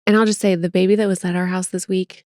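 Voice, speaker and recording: A woman's voice: on the low side, fast, no echo, clean studio sound. Chatty middle-aged American woman. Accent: General American. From United States. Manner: chatty, expressive, self-deprecating. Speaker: podcast co-host. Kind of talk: casual chat.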